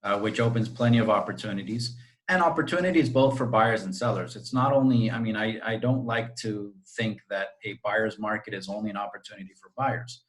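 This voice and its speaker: Costa rican real estate guy, lowish voice, chatty and friendly, video call. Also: brisk, low-quality recording. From Costa Rica. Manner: friendly, talkative, explains at length. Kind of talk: interview answers.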